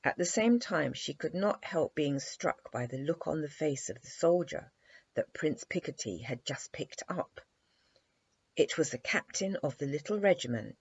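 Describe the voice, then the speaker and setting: A woman reading a children's bedtime story aloud in an animated, measured storytelling manner. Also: low voice, husky. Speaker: storybook reader. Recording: dry, slightly dull lo-fi recording.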